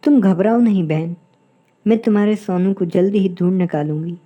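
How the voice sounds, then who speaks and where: A woman's voice: medium pitch, moderate pace, lo-fi sound, close to an everyday voice. A woman voicing a kind, helpful young bird who speaks with earnest concern in a cartoon moral story.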